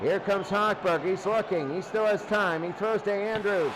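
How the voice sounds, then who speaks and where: High-voiced male football play-by-play announcer, noisy recording. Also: moderate pace, a crowd in the background. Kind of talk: live American football play-by-play commentary.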